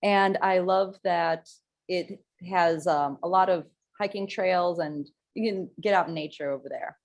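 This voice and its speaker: A woman's voice: on the low side, a little slow, highs cut off. A woman from an advocacy group giving upbeat news at a casual online meeting.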